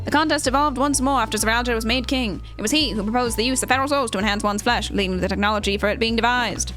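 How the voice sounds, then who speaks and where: A woman voices a theatrical tournament commentator from a fantasy game, with a high voice. The delivery is formal, polite and showy, like scripted dialogue read aloud. Faint music plays behind. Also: fast, a bit bright, close to an everyday voice. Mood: earnest, formal.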